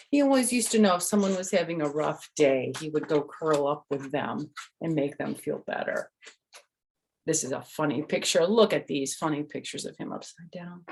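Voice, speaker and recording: An older woman with a slightly low voice reads a picture book aloud as a storyteller. She talks directly to young listeners, mixing the written text with her own informal remarks. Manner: addresses young listeners with questions and personal asides. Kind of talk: read-aloud storytime with chatty asides.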